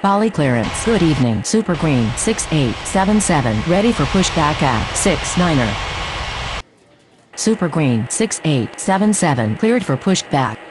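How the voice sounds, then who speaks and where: A woman's voice: low voice, very animated, unhurried. A woman speaking scripted air traffic radio phraseology in a game.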